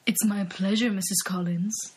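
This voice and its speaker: A woman's voice: mid-range voice, steady tone, moderate pace, darkish tone, husky, natural voice. A woman putting on a suave pool boy. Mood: smooth.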